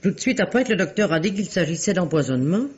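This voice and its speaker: A woman's voice: fairly low pitch, animated, fast talker, darkish tone, breathy edge. A woman playing a loyal, plain-spoken servant in a mystery radio play, stubborn and protesting as she explains herself. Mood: sheepish, upset. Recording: dull, muffled vintage-style radio recording.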